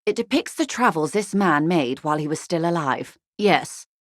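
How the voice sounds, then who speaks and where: A woman's voice: deep voice, lively intonation, moderate pace, no echo, clean studio sound. A woman playing a poised, wealthy, shrewd corporate negotiator in a video game, speaking formally and with polite, guarded care. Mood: polite, composed. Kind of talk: video game character dialogue.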